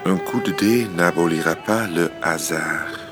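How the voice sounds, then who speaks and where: A man's voice: deep voice, measured pace, music in the background. An actor playing an impulsive, amorous intellectual womanizer in a radio drama, effusive and courtly in manner. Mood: charming, flirtatious.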